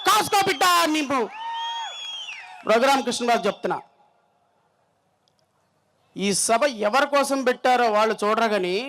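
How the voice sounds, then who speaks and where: A man's voice: very high-pitched, fairly bright tone, poor audio. A man with an Andhra Telugu accent delivering a fiery political speech from a stage, combative and threatening, hammering home phrases by repeating them. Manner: combative, threatening, emphatic repetition. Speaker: political speaker at a rally.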